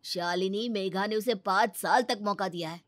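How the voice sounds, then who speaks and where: A woman's voice: medium pitch, normal speed, no room sound, soft background music, natural voice. Woman acting a protective, emphatic older brother. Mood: reassuring. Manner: emphatic, reassuring. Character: protective, indignant adult brother, caring and firm.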